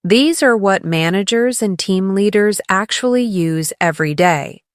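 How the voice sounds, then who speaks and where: A woman leading a scripted English lesson as a teacher, encouraging the learner and clearly enunciating model phrases for repetition. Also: mid-range voice, lively intonation, measured pace, no echo, studio quality. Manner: encouraging coaching.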